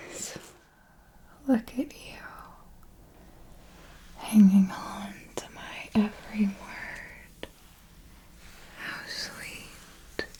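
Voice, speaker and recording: Female performer for seasoned vampire mentor, ASMR roleplay. Whispered, extremely unhurried, formal and theatrical, doting yet menacing. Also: medium pitch. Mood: doting, instructive. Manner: slow, deliberate, theatrical, formal diction.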